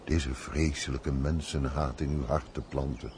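An actor playing an earnest, deferential manservant in a radio play, speaking formally and theatrically. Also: male voice, extremely low pitch, steady tone, unhurried. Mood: troubled concern. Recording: noisy old radio play recording.

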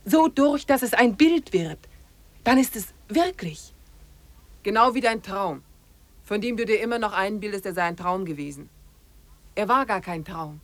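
A woman's voice: medium pitch, animated, normal speed, long pauses, dry recording, lightly acted. An actress playing a practical, resolute woman hiker in a radio drama, plain-spoken and earnest. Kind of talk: 1950s German radio drama acting.

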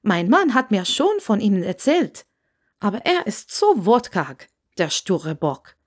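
A woman's voice: medium pitch, sing-song, unhurried, breathy edge. Woman voicing a gushing, chatty older village hostess. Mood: gushing, friendly. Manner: gushing, talkative, enthusiastic. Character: chatty, effusive plump grey-haired Scottish innkeeper's wife. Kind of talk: audiobook character dialogue.

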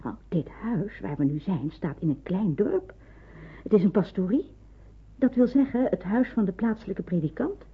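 An actress in a Dutch radio drama playing a watchful, practical nurse in hiding, explaining and cautioning. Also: female voice, medium pitch, animated, normal speed, slightly rough. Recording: narrow phone-like old radio recording.

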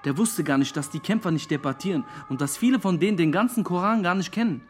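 Slangy, defensive young friend in radio drama, slightly high pitch, rough-edged tone. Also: male voice, quick pace, soft background music. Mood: earnest. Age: young adult. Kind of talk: naturalistic radio drama, interview testimony.

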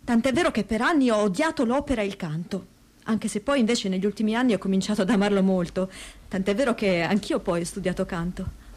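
Actress playing a troubled daughter, medium-pitched, hesitant, introspective, conversational testimony, radio theatre. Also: female voice, darkish tone, natural voice. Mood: uneasy, searching. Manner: hesitant, pausing, thinking aloud.

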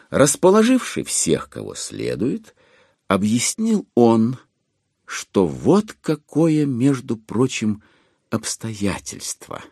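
A man narrating a dramatized literary audiobook with theatrical, measured, ironic storytelling. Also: lowish voice, vocal fry, mellow, breathy edge, no room sound, clean studio sound.

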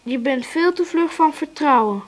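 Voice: sits high, lively intonation, normal speed. A performer playing a sensible, bossy young son who is stuck in bed, matter-of-fact and precocious, in a radio play. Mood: sensible, sceptical. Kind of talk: naturalistic radio drama acting.

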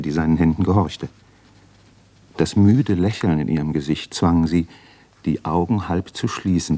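A man's voice: low voice, dark tone. An actor playing a brooding, sensitive man recalling his youth in an introspective, intense radio drama monologue.

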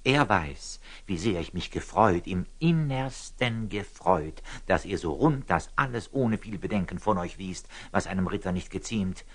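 An actor playing a pious, simple-hearted friar in a classical radio drama, with a deferential, earnest and artless manner. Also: male voice, low-pitched, lively intonation, unhurried, few pauses, breathy edge, full character voice. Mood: relieved, earnest. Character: humble, pious, simple-hearted lay friar with sly candour.

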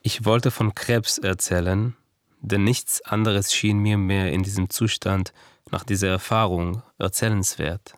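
A man's voice: lowish voice, steady tone, a little slow, no room sound, natural voice. A man playing a frightened, self-doubting cancer survivor, speaking an earnest, introspective confessional monologue in a radio play. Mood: reflective. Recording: dry studio with faint music.

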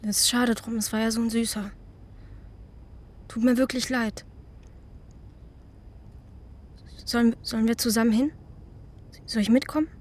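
Actress doing a chatty dreamy teen girl for a radio play, highish voice, natural and halting, outdoors w crickets. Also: fairly even intonation, a little mellow, close to an everyday voice. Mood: hesitant, sympathetic. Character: chatty, dreamy East German schoolgirl in her early teens. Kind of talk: naturalistic German radio drama acting. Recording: outdoor ambience with crickets, clear background noise.

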